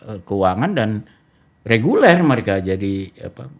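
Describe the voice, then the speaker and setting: A man's voice: fairly low pitch, sing-song, a little slow. Indonesian man hosting a casual talk show. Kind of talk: casual conversational interview.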